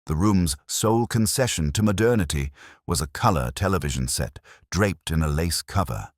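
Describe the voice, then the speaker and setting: A man narrates scripted fiction in a very deep, slightly rough voice. His intonation rises and falls freely, and the recording is close and dry. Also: expressive, normal speed, no room sound.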